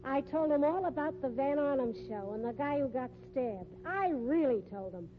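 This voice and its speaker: An actress playing a brassy, suspicious, sharp-tongued carnival woman with an American accent and slangy, needling talk in a 1950s radio drama. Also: high voice, expressive, moderate pace, slight background noise. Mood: indignant. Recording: dull, humming 1950s radio recording.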